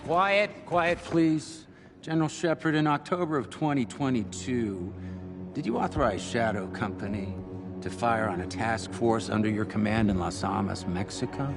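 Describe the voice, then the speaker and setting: A man's voice: mid-range voice, moderate pace, gravelly, music in the background, close to an everyday voice. A man playing a stern official who chairs a government hearing in a video game, formal and probing. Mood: loud, commanding. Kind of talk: video game cutscene acting.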